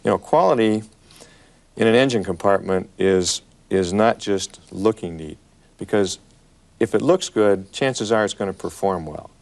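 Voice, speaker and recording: A man's voice: fairly low pitch, unhurried, vocal fry, a little mellow. An American man speaking as an engineer in a panel discussion, explaining his work with emphatic repetition. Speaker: truck engineer. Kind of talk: panel discussion about product development.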